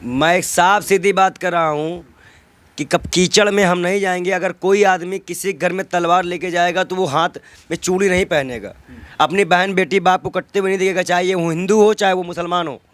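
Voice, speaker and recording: Male interviewee. High voice, dry sound, combative and emphatic political argument. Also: steady tone, normal speed, a bit bright, dry recording. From North India. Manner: argumentative, emphatic, with rhetorical questions and repetition.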